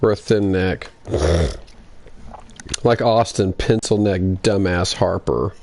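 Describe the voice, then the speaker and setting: Man, gravelly voice, teasing, joking, casual gamer chat. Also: low voice, fairly even intonation, takes it slowly, a little mellow. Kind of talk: casual chat among friends.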